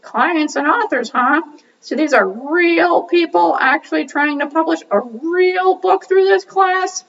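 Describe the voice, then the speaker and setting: A woman voicing a curious, excitable puppet who asks questions in an exclamatory way during a puppet show dialogue. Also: very high-pitched, unhurried, vocal fry, far from the mic, dull audio, pitched above the performer's own voice, exaggerated voice.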